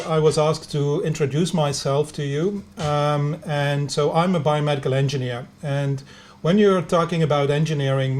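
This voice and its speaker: A middle-aged man from Belgium whose first language is Dutch, giving a lecture as a biomedical engineer, speaking English with a Belgian Dutch accent and many pauses, fillers and restarts. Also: a little high, a little mellow. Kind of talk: lecture to students over video conference.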